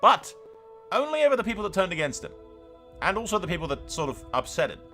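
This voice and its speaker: A man's voice: high voice, sing-song, quick pace, pushed and bright, soft background music. A man giving casual, sweary gameplay commentary as a gaming YouTuber, chatting to his viewers in a blokey way.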